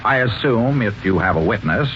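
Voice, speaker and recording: A man's voice: on the low side, animated, moderate pace, lightly acted. Dull, faintly hissy vintage radio: male actor as a ruthless tycoon, swooping delivery, curt and dismissive. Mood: scornful. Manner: brusque, imperious. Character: ruthless, haughty millionaire businessman, curt and dismissive. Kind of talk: 1940s radio acting. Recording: dull, noisy old 1940s radio recording.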